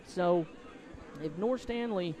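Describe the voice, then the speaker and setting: A man doing excitable live play-by-play commentary of a high school football game, outdoors at the stadium. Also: slow, a crowd in the background. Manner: excitable, voice climbs to shouts on big plays. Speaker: play-by-play sports announcer.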